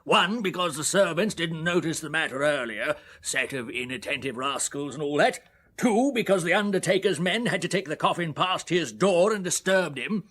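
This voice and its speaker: An actor playing an exasperated gentlemen's club secretary in a radio drama, wry and grumbling, with an upper-class English accent. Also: male voice, on the high side, lively intonation, moderate pace.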